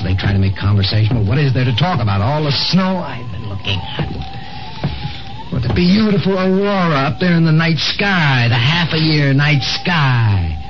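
A man's voice: medium pitch, lightly acted. Guy acting a bitter lonely man outta time, voice all over the place, old muffled radio show w music behind. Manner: halting, stammering, theatrical. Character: lonely, embittered man from the past, lost in the future. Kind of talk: 1950s radio drama acting.

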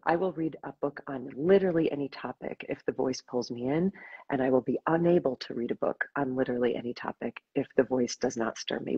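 Older lady host gushing on a video call, breathy voice, bit muffled audio. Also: low-pitched, a little mellow. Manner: effusive, enthusiastic, laughs often. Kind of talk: casual interview. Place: home room with plants.

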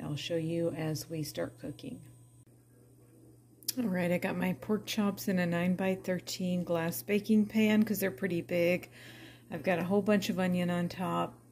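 A woman's voice: fairly low pitch, gravelly, darkish tone, slight background noise. A middle-aged woman chatting casually as she cooks dinner in her home kitchen, talking through each step. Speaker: cooking vlogger. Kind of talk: casual cook-with-me narration.

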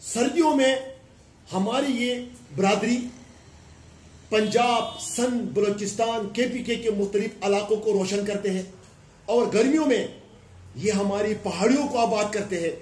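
A high-pitched man in his forties from Pakistan speaks firmly into a bank of press microphones, building his points through repeated listed phrases. The room around him rings with noticeable echo. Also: a little slow, darkish tone. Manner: emphatic, gesturing with raised hands, speaking in listed repetitions. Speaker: former councillor giving a press conference. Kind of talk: press conference statement. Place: indoor room before a plain wall. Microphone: cluster of press microphones on a table. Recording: echoey room.

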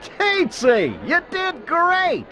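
A man playing a flamboyant, excitable bug restaurant host with theatrical delivery in a children's computer game. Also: extremely high pitch, very slow, some chatter behind, heavily acted. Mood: cheerful, encouraging.

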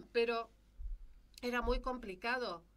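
Slow-talking Rioplatense woman singer. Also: a little high, crowd noise. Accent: Rioplatense Spanish. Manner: unhurried, hesitant with fillers. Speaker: singer and vocal teacher. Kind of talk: casual studio chat. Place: radio studio. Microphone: studio mic with headphones.

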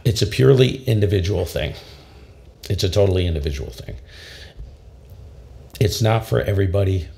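A man in his fifties with a very low voice, enthusiastically explaining ham radio audio gear in a casual tutorial from his home radio room. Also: lively intonation, normal speed, gravelly, pauses often, warm tone. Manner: enthusiastic, emphatic, repeats himself. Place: home radio shack, small indoor room. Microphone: studio condenser mic with pop filter.

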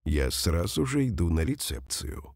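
A man reading example sentences slowly and carefully for language learners, saying each one twice. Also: deep voice, big pitch swings, a little mellow, no room sound, clean studio sound. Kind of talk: slow repeated phrase reading for learners.

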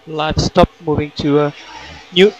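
A man's voice: a little high, little pitch movement, a little slow. A man working as a race engineer, giving terse, repeated instructions in a clipped manner over team radio. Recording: noisy motorsport team radio.

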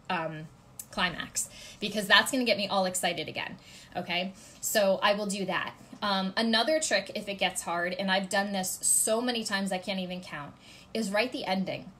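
A woman's voice: medium pitch, pushed and bright. Woman, 30s, congested, chatty how-to vlog, home office webcam, faint background hiss. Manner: chatty, gestures a lot, many ums and "okay?" check-ins. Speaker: author giving writing tips. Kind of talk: casual instructional vlog to camera. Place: home office with ceiling fan, indoors.

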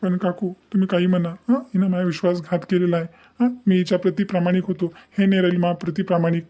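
Man playing a high-pitched, aggrieved betrayed husband. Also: little pitch movement, fast talker, warm tone, close to an everyday voice. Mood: hurt. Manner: firm, aggrieved, protesting. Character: hurt, unforgiving betrayed husband and young father. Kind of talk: Marathi cartoon moral drama dialogue.

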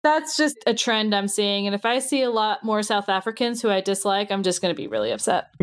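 A woman's voice: mid-range voice, lively intonation, brisk. A woman co-hosting a podcast, talking casually and giving her opinions emphatically in a panel discussion. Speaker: podcast co-host.

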